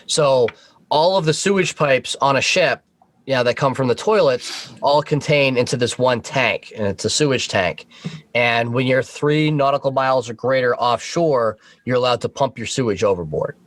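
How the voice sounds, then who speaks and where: A man with a medium-pitched voice speaks as a podcast guest. His delivery is informal and explanatory, in a casual conversational flow. The sound is dry, with very little room on it. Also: moderate pace, no room sound.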